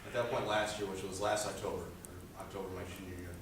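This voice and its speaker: Teen boy, low monotone voice, earnest student presenter, distant mic in an echoey school room with noise. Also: fast. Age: teens. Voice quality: low speaking voice. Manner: earnest, rambling with many "uh" fillers. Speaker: high school student presenting a senior project. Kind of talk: presentation speech.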